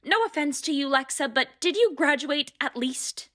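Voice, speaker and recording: A woman's voice: sits high, animated, moderate pace, pushed and bright. An actress playing a snippy, distrustful woman in a radio play, talking in a cutting, sardonic way. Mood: scornful. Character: snippy, distrustful woman protective of pregnant wife. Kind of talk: radio drama acting.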